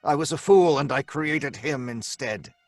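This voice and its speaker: An actor playing a tormented, secretive scientist in an old-time radio drama, speaking in a grave, deliberate, dramatic way. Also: male voice, a little high, dry recording, soft background music. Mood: grim confession. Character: tormented male scientist, guilt-ridden, secretive and defiant. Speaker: lead character and narrator.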